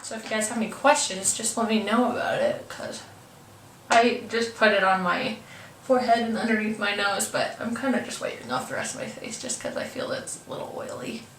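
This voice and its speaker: A woman's voice: medium pitch, brisk, fairly bright tone. A young woman with a congested, stuffed-up voice talks casually and hesitantly, apologizing often as she works through a makeup tutorial. She sits some distance from the camera mic in a home room that rings with echo, and a faint hiss runs underneath. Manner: chatty, hesitant with many ums, apologetic. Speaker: beauty vlogger.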